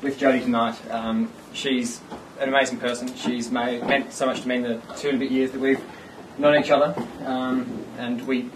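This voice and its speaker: A man's voice: medium pitch, flat delivery, moderate pace, background noise. A man giving a rambling, self-mocking speech at his engagement party. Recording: distant mic with loud party ambience.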